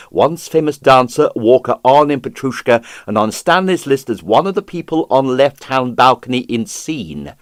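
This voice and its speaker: A man narrating a detective story as an audiobook reader, theatrical and measured, with clipped phrasing. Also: medium pitch, no room sound, studio quality. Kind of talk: dramatized reading of a comic detective novel.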